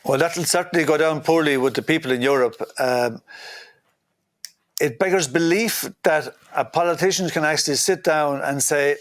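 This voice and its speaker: A man's voice: moderate pace, no room sound. A man as a guest politician in an interview, blunt and indignant, full of "uh" fillers. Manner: blunt, indignant, many "uh" fillers, mocking quotes in a higher voice. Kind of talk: impassioned interview answers.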